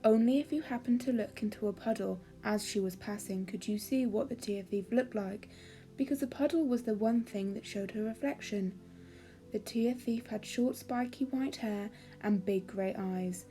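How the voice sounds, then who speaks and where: A woman storyteller giving a calming, expressive, measured reading of a children's fairy story. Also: medium pitch.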